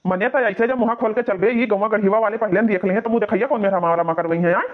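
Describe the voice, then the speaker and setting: Phone-like lo-fi sound, cartoon village husband, acted by a man, Awadhi accent, high-pitched, exasperated, nagging. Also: fast, lightly acted. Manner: nagging, pleading, grumbling. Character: nagging, exasperated young village husband, anxious about honour. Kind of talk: exaggerated cartoon comedy bickering.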